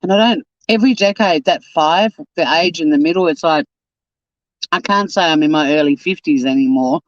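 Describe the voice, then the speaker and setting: A man's voice: a little high, moderate pace, dry recording, muffled recording. A man in his fifties chatting casually on a live stream, reading out and answering viewer comments. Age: 50s. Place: home.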